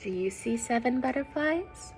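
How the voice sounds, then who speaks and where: A woman reading a children's storybook aloud expressively, pausing to explain simply and ask young listeners questions. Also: on the high side, expressive, darkish tone, slightly rough, faint music behind.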